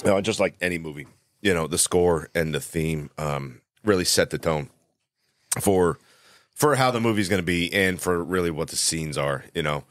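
A man's voice: deep voice, normal speed. A man co-hosting a movie podcast, laid-back and agreeable, musing casually with many "you know"s. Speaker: podcast co-host. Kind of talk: casual movie review chat.

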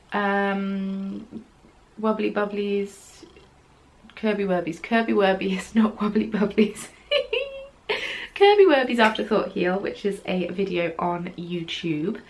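Chatty woman with a gravelly edge. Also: mid-range voice. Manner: chatty, self-deprecating, rambling with many ums. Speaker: knitting podcast host. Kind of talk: casual chat. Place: small craft room at home, yarn on the wall behind.

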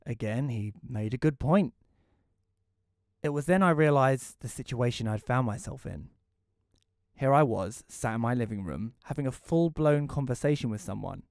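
A man's voice: medium pitch, animated, moderate pace, a little mellow, no room sound, natural voice. A man playing a reclusive, wry widower, narrating an audio play in the first person in a dry, reflective way.

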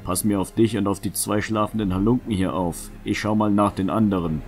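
A man playing a calm, gallant, commanding knight who reassures others in a fantasy audio drama. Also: lowish voice, fairly quick, faint music behind, close to an everyday voice. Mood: reassuring.

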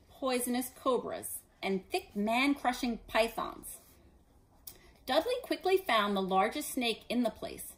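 A woman in her forties reading a children's story aloud as an expressive narrator. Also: a little high, low-quality recording. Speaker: storybook reader. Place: living room indoors.

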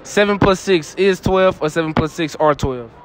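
A man's voice: on the high side, moderate pace, a little hiss or hum. A young man interviewing strangers in a shopping mall, repeating their answers back and laughing often. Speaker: street interviewer. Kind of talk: casual street interview. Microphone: handheld phone.

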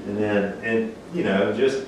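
A man with a fairly low voice talks casually about life as a fishing guide, folksy and relaxed. He is heard from a distance in an echoey dining room. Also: unhurried, a little hiss or hum. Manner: relaxed, folksy, acts out quoted exchanges. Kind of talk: casual roundtable discussion. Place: dining room around a table.

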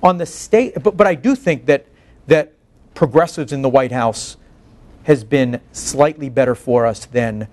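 A man's voice: medium pitch, a little slow, darkish tone. A man in his forties giving a lecture in an earnest, emphatic way, tagging points with "right?". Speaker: guest lecturer, public defense advocate. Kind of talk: lecture to a faculty colloquium with questions. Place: meeting room, indoors.

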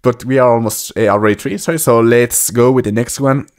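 A man in his twenties giving casual, off-the-cuff reaction commentary in halting, repetitive phrases. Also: medium pitch, fairly even intonation. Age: 20s. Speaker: YouTube reaction host. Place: indoor room at a desk, music behind. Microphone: desktop microphone with headset worn. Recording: music playing behind the voice.

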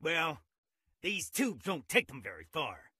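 A man voicing a sinister, gleeful ice cream man villain in a video game, with a raspy voice and a theatrical, menacing, taunting manner. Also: sing-song, unhurried, pushed and bright, no room sound, studio quality, exaggerated voice. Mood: sinister scheming. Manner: theatrical, menacing, sing-song taunting with swooping pitch.

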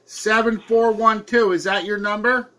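Man putting on high-pitched confused old caller. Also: fairly even intonation, pushed and bright. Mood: insistent. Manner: slow, insistent, repeating himself. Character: confused, hard-of-hearing old man fretting about his benefit check. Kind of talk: prank phone call. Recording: home room with some background noise.

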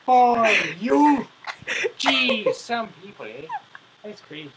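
A young man joking casually as co-host of a gaming video, excitable and exclamatory, often playfully scolding. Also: very high voice, takes it slowly, very noisy. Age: young adult.

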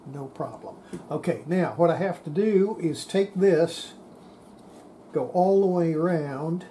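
An older man giving an unscripted hands-on repair demonstration, halting and muttering to himself as he works at a bench. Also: fairly high pitch, dark tone, slight background noise. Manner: halting, muttering to self while working. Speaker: radio repair hobbyist, presenter. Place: indoor workbench.

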